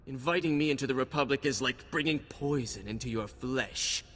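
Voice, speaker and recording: A man playing a bitter, defiant Sith villain in a video game, taunting with theatrical, drawn-out menace. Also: mid-range voice, fairly bright tone, in a large hall, lightly acted. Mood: sullen menace.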